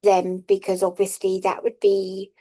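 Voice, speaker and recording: A woman speaks over a video call, halting and self-deprecating, with plenty of fillers. Her pitch stays level and even. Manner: hesitant, many fillers, self-deprecating laughs. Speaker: workshop participant. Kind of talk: casual chat in an online workshop.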